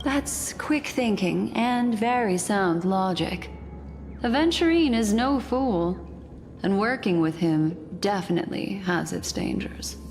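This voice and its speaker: An actress playing a composed, enigmatic woman in a video game, speaking in a measured, knowing, formal way. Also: mid-range voice, expressive, darkish tone. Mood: calm, cautionary. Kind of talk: video game character dialogue. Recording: long reverb, music behind. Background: game music.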